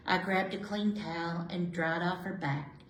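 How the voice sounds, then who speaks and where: A woman reading rhyming picture books aloud to children in an animated, sing-song way. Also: lowish voice, unhurried. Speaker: children's storyteller. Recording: roomy, echoey indoor sound.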